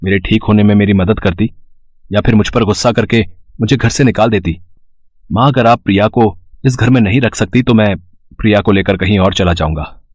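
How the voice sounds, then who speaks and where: A man plays a principled young husband in a cartoon family tale. His voice sits a little low and is recorded dry. He speaks gently, with earnest persuasion. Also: fairly quick, no room sound, lightly acted. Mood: earnest, pleading. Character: caring young husband and son, calm, principled. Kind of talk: animated moral story voice acting.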